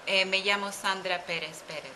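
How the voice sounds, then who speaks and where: A woman in her forties from Cuba with a Cuban Spanish accent, answering interview questions slowly with many pauses, recorded on a webcam in a small office. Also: medium pitch, steady tone, pushed and bright, slight background noise. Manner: hesitant, thinks aloud with fillers. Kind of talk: slow, careful conversational answers. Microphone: webcam at desk.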